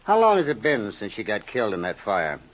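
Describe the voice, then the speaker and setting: An American actor playing a sly small-time schemer in a 1950s radio crime drama, insinuating and full of false friendliness. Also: male voice, on the low side, huge pitch swings, a little fast. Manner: smooth-talking, insinuating, mock-friendly. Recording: dull, muffled old radio recording.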